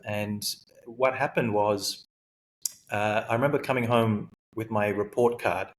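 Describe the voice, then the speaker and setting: A man's voice: lowish voice, fairly even intonation, unhurried. A man from Sri Lanka, raised in Australia, telling his life story as a guest in an interview, thoughtful and full of fillers. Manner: thoughtful, frequent fillers and self-corrections. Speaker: guest, high-performance coach.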